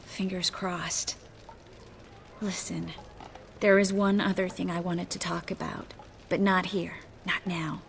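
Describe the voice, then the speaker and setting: A woman playing a composed, worldly sorceress in a video game, with a measured, dry, wry delivery. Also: fairly low pitch, flat delivery, creaky. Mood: guarded, decisive. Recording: faint ambient noise behind.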